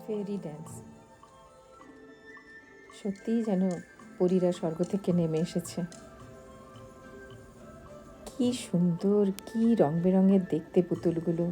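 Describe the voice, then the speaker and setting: Woman, low pitch, very mellow tone, travel vlog narration, phrase-by-phrase delivery, faint music behind. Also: steady tone, slow pace, pauses often. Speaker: travel vlogger.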